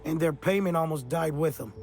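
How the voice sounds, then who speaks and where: An actor playing a sly, boozy old mercenary with a gravelly voice and folksy, slangy talk in a video game. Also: male voice, fairly high pitch, brisk, a little mellow, close to an everyday voice. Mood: sly, cynical. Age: older. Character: gruff, sly, boozy old mercenary drifter with a guilty streak. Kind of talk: video game character dialogue.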